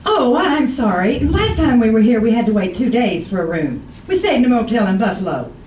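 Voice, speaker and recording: An actress playing a romantic but nagging, easily wounded wife in old-time radio comedy, chattering and exasperated. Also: female voice, a little high, sing-song, fairly quick, a little mellow, recorded from a distance. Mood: chatty. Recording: roomy, noisy old radio, off mic.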